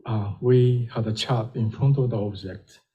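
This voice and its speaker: An older professor from Japan, giving a public lecture in a slow, gentle, wry way with small hesitations. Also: male voice, fairly low pitch, slightly rough, lo-fi sound.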